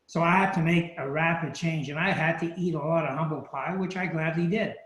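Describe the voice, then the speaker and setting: A man's voice: on the high side, fairly quick, a bit bright, reverberant. A man in his late sixties on a video call panel, frankly telling a story about himself. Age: 60s.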